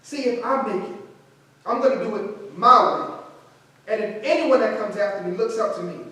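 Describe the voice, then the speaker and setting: A man's voice: sits high, normal speed, pushed and bright. A man with an American accent playing a proud, principled, struggling idealist in staged theatrical dialogue. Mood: impassioned, firm. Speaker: actor in a staged reading. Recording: very echoey hall, distant microphone.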